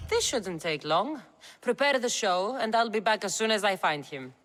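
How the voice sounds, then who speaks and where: A woman's voice: medium pitch, lively intonation, normal speed, a bit bright, close to an everyday voice. Game casting: a woman playing a bold, direct warrior-mercenary, resolute in every line.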